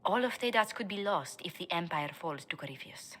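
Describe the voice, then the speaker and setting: An actress voicing a poised, formal court diplomat in a fantasy game, speaking in a measured way. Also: female voice, fairly low pitch, lively intonation, a bit bright, slight background noise. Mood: grave. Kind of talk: video game dialogue acting.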